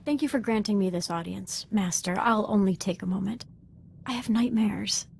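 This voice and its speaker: A woman's voice: medium pitch, moderate pace, gravelly, a little mellow, natural voice. A young woman acting as a devoted, fervent Sith apprentice in a video game, speaking in an earnest, deferential and articulate way.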